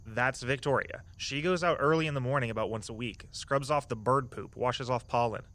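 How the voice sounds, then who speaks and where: A man playing an easygoing, loyal young tour guide friend in an audio drama, talking in a casual, chatty, gently earnest way. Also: mid-range voice, a little fast, close to an everyday voice. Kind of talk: naturalistic audio drama dialogue.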